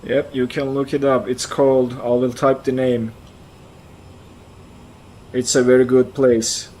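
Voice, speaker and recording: A man in his forties from central Turkey, with a Turkish accent, chatting casually on a flight sim stream, answering chat aloud and thinking out loud. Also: medium pitch, flat delivery, measured pace, a little hiss or hum, rough recording. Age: 40s.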